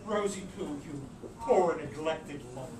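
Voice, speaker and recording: A man's voice: fairly high pitch, unhurried, close to an everyday voice. A man playing a haughty, campy drag queen mother, theatrical, arch and scolding, in a dramatised novel reading. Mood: arch, teasing. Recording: roomy, distant, noisy amateur recording.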